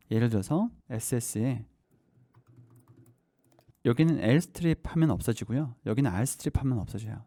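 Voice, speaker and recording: A man's voice: medium pitch, warm tone, no echo, studio quality. A man in his thirties teaching a programming lesson step by step, often asking the listener questions as he types. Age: 30s. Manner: explains step by step while typing, asks the listener questions. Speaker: coding instructor. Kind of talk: screen-recorded programming tutorial.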